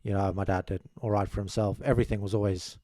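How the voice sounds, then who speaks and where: Modest South African man, low dry voice. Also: fairly even intonation, quick pace, dark tone, dry recording. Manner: modest, rambling with many restarts. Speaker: podcast guest. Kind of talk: casual interview chat. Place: small studio room with curtains. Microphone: desk mic on boom arm, headphones.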